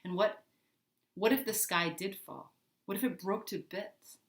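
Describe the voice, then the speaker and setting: A woman voicing a gruff, exasperated older boy who talks firmly and insistently, in a story reading. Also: mid-range voice, unhurried, natural voice. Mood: hard, angry. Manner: firm, insistent. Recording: roomy, echoing indoor sound.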